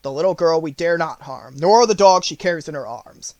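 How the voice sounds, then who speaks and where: A solemn chief of winged monkeys, voiced by a man in a storybook reading. He makes formal, firm pronouncements in a fairly high voice with no room sound around it. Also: brisk, darkish tone, no echo, lightly acted. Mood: firm, defiant. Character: male leader of winged monkeys, solemn and defiant.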